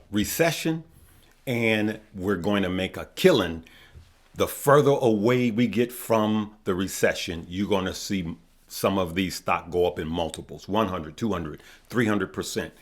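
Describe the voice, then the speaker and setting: Hoarse man quizzing his viewers. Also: fairly low pitch. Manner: asks questions then answers them; addresses viewers as good people. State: losing his voice. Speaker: stock market video host. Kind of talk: casual finance talk to viewers.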